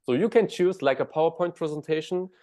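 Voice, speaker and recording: A man's voice: fairly high pitch, brisk, a little mellow. A man from Germany with a German accent, a guest in an interview, explaining things step by step and often restarting words.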